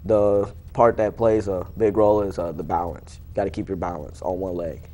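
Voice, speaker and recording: A man's voice: deep voice, flat delivery, normal speed, warm tone. A young man, an athlete being interviewed, answering casually in a plain, matter-of-fact, informal way.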